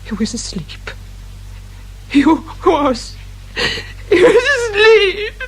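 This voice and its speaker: A woman's voice: very high-pitched, sing-song, extremely slow, heavily acted. An actress with a British accent playing an anxious, guarded grieving mother in a radio crime drama, speaking politely and hesitantly. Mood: distraught.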